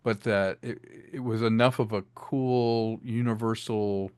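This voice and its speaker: A man's voice: on the low side, measured pace, smooth, dry recording, studio quality. A man who directed an audio drama, chatting in an interview and acting out lines as he explains them. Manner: animated, acts out lines while explaining. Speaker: director, guest. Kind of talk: casual interview talk.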